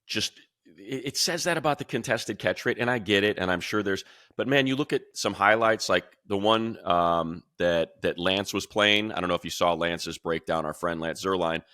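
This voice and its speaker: Deep-voiced, forceful sports radio man. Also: moderate pace, no echo, clean studio sound. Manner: energetic, rambling, mimics fans in a high voice. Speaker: radio play-by-play announcer co-hosting. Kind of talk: animated sports talk.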